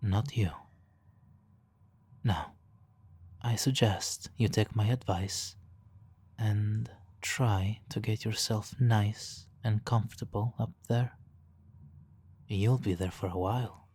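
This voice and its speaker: A man playing a suave, teasing, hypnotically controlling supervillain in a soft, intimate ASMR roleplay, condescending and purring, breathy in places. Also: on the low side. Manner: condescending, purring, drawn-out pauses, soft chuckles.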